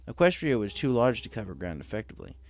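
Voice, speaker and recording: A man's voice: lowish voice, sing-song, quick pace, creaky. A man reading scripted fiction aloud as a story narrator.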